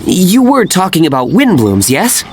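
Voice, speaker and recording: A man's voice: high voice, huge pitch swings, normal speed, noisy background, full character voice. A man playing a stubborn, opinionated young fruit vendor in a video game, talking in a pompous, emphatic way. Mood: pompous, insistent. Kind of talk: animated video game acting.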